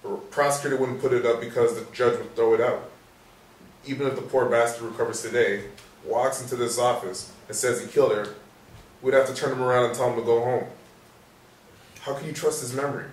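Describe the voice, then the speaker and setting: An actor playing a weary, cynical police sergeant with a blunt manner in a stage play reading. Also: male voice, mid-range voice, fairly even intonation, brisk, close to an everyday voice. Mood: exasperated. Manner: blunt, jaded. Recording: roomy distant stage recording, faint noise.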